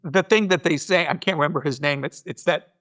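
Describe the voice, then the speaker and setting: An American man with a high-pitched, bright voice speaks as a guest in a casual interview. He is reflective, halting and doubling back on words while he works out a thought. His delivery is that of someone explaining his craft in conversation. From United States. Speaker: podcast guest, storyteller and show organizer.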